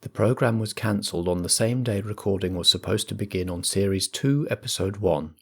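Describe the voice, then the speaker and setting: A man hosting a role-play podcast as compere, with a measured, theatrical scripted delivery. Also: fairly low pitch, husky. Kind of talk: scripted narration and introduction.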